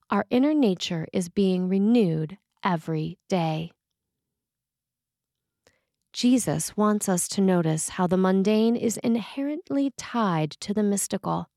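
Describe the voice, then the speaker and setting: A woman preacher reading a written sermon in a measured, reflective and earnest way. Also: mid-range voice, gravelly, darkish tone, no room sound, clean studio sound.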